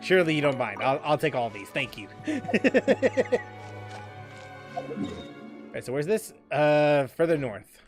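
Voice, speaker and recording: High, lively-voiced male gamer. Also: takes it slowly, long pauses, music in the background. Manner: musing, rambling, with restarts and laughs. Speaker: gaming streamer. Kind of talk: casual gameplay commentary.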